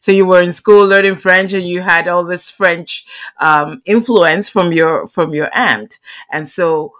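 A woman podcast host chatting casually and effusively with a guest, quick to react. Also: fairly low pitch, fairly bright tone. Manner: effusive, reacts with interjections and laughter.

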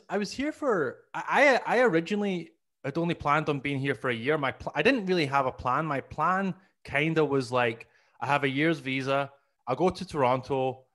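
Scottish comic, 30s, Glasgow accent, loose rambling storyteller, close studio mic. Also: male voice, a little high, fairly bright tone. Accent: Glaswegian Scottish. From Scotland. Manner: rambling, self-mocking, acts out his past self. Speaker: guest comedian. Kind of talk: casual storytelling interview. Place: small room at home, video call. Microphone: studio mic on boom arm.

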